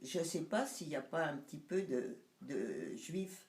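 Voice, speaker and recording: A woman's voice: low voice, very animated, measured pace, a little mellow, reverberant. A woman in her nineties, recounting family memories to her son in casual conversation, hesitant and searching for words. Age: 90s. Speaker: mother recalling family memories.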